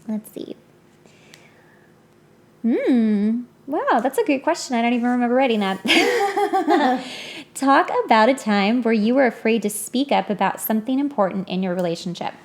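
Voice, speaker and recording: Woman, thirties, slightly high voice, podcast host, casual conversation, frank and informal. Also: big pitch swings, normal speed. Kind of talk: casual chat.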